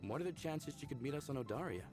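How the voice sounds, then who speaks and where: An actor voicing an upbeat, smitten young man in a visual novel game, speaking in an earnest, casual, expressive way. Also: a little high, fast talker, close to an everyday voice. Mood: friendly. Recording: background music under the voice.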